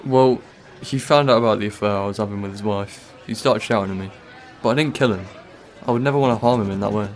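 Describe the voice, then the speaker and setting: A man's voice: fairly low pitch, fast talker, hardly any pauses, slight background noise, lightly acted. A man playing a defensive, uneasy office worker under suspicion in a radio play, speaking hesitantly and guardedly. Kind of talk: student radio drama acting. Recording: cafe crowd chatter behind.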